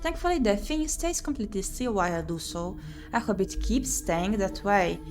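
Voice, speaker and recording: Expressive woman narrating a game over music. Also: medium pitch, moderate pace. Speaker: streamer playing and reading a visual novel. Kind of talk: let's-play commentary with reading aloud of game narration.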